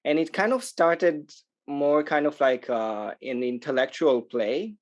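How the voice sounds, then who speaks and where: A man from Israel speaking as a podcast interview guest, conversational, often pausing mid-phrase as he explains. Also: a little high. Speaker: interview guest, sustainable tourism organization manager.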